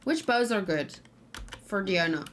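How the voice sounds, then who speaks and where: A woman hosts a casual gaming stream in a medium-pitched voice. She thinks aloud and mutters to herself as she goes. There is light background noise, with keyboard typing and clicks. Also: animated, unhurried. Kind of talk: casual live let's play chat, thinking aloud. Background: background noise, computer keyboard and mouse clicks.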